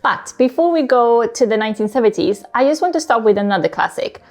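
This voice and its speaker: A woman's voice: on the high side, lively intonation, fast. A woman whose first language is Swedish, chatting casually and informally as she recommends books, often slipping in "like". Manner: chatty, informal, frequent "like" asides. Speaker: book YouTuber, host. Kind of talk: casual book recommendation talk to camera.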